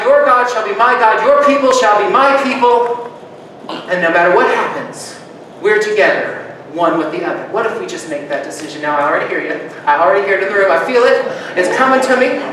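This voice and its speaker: A man preaches to his congregation with a fairly high voice, swinging between loud rousing calls and hushed, soft-spoken reflection. He is heard from a distance in a strongly echoing church, with clear background noise around him. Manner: conversational, joking with the congregation. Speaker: pastor. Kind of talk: sermon. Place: church sanctuary.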